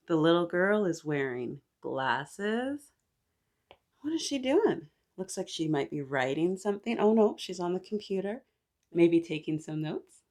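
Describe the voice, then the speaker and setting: A woman's voice: fairly low pitch, darkish tone. A woman hosting a picture book read-aloud for young children, encouraging and sing-song, often putting questions to her listeners.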